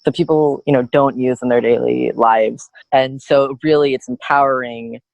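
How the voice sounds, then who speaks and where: Gravelly young man, earnest and reflective. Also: mid-range voice, steady tone, moderate pace, dry recording, dull audio. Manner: earnest, reflective, with frequent "like" and "you know" fillers. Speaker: student guest. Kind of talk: candid personal storytelling.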